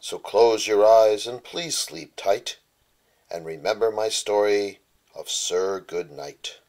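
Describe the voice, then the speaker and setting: A man's voice: on the low side, takes it slowly, darkish tone. A man narrating a rhyming children's bedtime story as its author.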